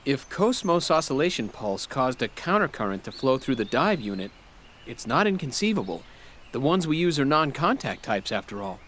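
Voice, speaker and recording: A man's voice: medium pitch, very animated, normal speed, fairly bright tone, a little hiss or hum. A man playing an inquisitive, slightly anxious young technician in a dubbed sci-fi game cutscene. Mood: puzzled.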